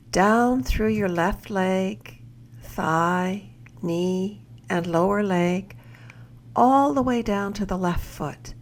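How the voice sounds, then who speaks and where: A woman leading a guided meditation as a mindfulness mentor, with gentle, measured instruction and soft, breathy phrase endings. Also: medium pitch.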